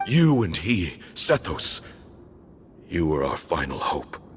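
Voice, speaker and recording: An actor playing a solemn male temple elder in a video game, speaking in grave, measured declamations. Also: very deep voice, sing-song, slight background noise, full character voice. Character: solemn male cult elder, proud and zealous. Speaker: temple leader.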